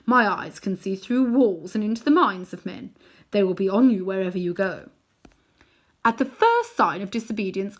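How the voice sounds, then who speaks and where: Woman voicing a scornful imperious witch queen. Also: mid-range voice. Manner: commanding, scornful, slow and forceful.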